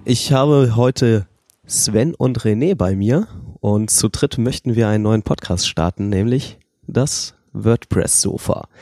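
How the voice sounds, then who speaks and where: A man in his twenties, a student, introducing a podcast as co-host in a relaxed, slightly hesitant way. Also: fairly low pitch, no echo. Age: 20s.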